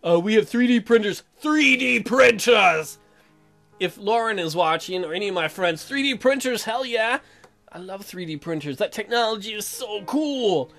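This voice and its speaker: A man hosts a let's play in a high, very animated voice. His commentary is casual, crude and full of jokes. Game music plays behind him. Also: normal speed. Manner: irreverent, crude, jokey.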